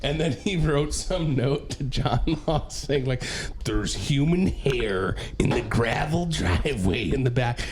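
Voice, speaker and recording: A man's voice: medium pitch, lively intonation, takes it slowly, noisy background. A man in his thirties chatting casually on a podcast, joking and laughing as he tells stories. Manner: jokey storyteller, laughs often.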